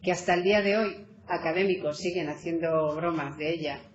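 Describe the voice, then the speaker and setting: Woman author, animated and hesitant, echoey room, dull lo-fi sound. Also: on the low side, fairly quick. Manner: animated, hesitant with many fillers and restarts. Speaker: author presenting her book. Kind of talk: conversational book presentation talk. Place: meeting hall.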